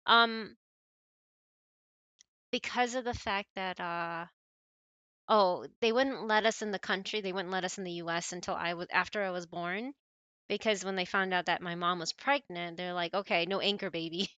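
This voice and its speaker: A woman livestream host chatting casually with viewers while she plays, in an animated, giggly, playful way. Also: lowish voice, normal speed, smooth, no room sound, lo-fi sound. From born in Malaysia, family brought to the US.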